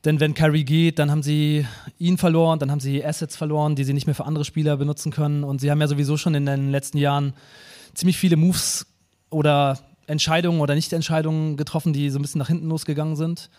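Higher-voiced man in casual sports talk. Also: level tone, fast, darkish tone. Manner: colloquial, slangy. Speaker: podcast guest. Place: live stage show.

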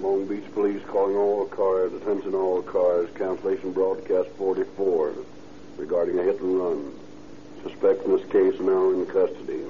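Police radio dispatcher, male, low flat monotone, businesslike, dull and slightly noisy vintage broadcast sound. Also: moderate pace. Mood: flat, official. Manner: flat, clipped official delivery.

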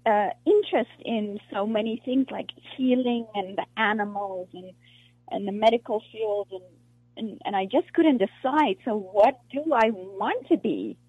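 A woman from South Africa, an actress being interviewed, talking in a halting way with many restarts and fillers. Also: medium pitch, breathy edge, no room sound. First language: Afrikaans. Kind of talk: casual interview conversation. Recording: muffled lo-fi dry recording.

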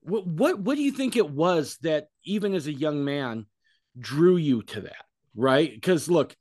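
A man's voice: on the high side, animated, measured pace, a little mellow, no room sound, studio quality. A man hosting a podcast, chatting casually and rambling, repeating words as he gathers his thoughts. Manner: rambling, self-deprecating, repeats words while thinking. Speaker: podcast host. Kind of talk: casual interview chat.

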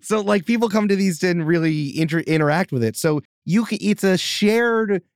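A man, a game master and podcast guest, explaining with enthusiastic, quick asides in casual chat. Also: sits high, clean tone, dry recording, studio quality.

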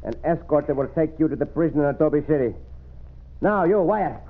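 A noisy, tinny old radio recording of a gallant Mexican cowboy hero. His highish voice swings widely in pitch, commanding and courteous, with a Mexican accent. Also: animated, fairly quick. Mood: stern, commanding. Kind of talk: old-time radio western acting.

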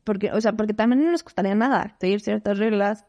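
A Mexican woman in her thirties speaks as an interview guest, her voice warm and mellow. She talks expressively, in quick bursts padded with fillers. The recording sounds dull and slightly lo-fi. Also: mid-range voice. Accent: Mexican Spanish. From Mexico City. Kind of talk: casual conversational interview.

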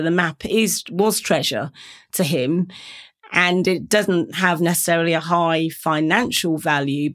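A woman from Reading with a British English accent, speaking reflectively as a podcast guest, often restarting her phrases. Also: deep voice. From England, Reading. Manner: warm, reflective, with frequent restarts and 'you know'. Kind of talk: conversational podcast interview.

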